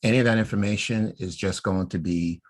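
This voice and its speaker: A man's voice: fairly low pitch, brisk. A man co-hosting a casual podcast, laying out his fan theories and often checking in with "right?". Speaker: podcast co-host.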